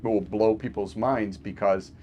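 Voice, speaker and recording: A low-pitched man who is a physician rambles easily through his stories over a video call. He fills the gaps with ums and you-knows and laughs now and then, with faint music under him. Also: steady tone, a little slow, warm tone, lo-fi sound. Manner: chatty storyteller, frequent fillers and laughs. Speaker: physician guest. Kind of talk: casual remote podcast conversation.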